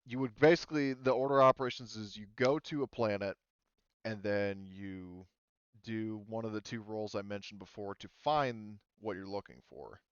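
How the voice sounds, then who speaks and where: Deep, clear-voiced man, hesitant game master. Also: steady tone, normal speed, no room sound, dull audio. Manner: hesitant, thinking aloud. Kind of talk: casual tabletop game refereeing.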